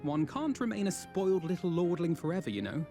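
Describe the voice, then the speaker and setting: Mellow, earnest young nobleman. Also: sits high, big pitch swings, a little fast, gravelly, faint music behind, exaggerated voice. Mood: courteous. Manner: formal, eloquent. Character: earnest, courteous, well-spoken young nobleman. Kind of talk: fantasy game acting.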